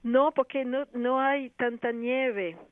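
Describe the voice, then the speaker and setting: A woman, a playwright and actress speaking Spanish as a second language, answering interview questions haltingly with many restarts. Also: sits high, no echo. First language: not Spanish. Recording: tinny phone line.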